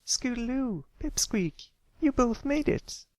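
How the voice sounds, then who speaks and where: Man putting on a high, sweet filly. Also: lively intonation, slow, a little mellow, dry recording, full character voice. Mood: excited. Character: sweet, excitable, slightly prim young filly pony.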